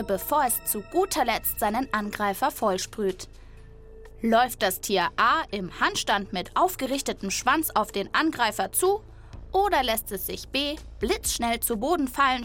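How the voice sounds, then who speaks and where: A woman with a fairly high voice presents a podcast for children. She narrates from a script in a lively, chatty way. She addresses her young listeners directly.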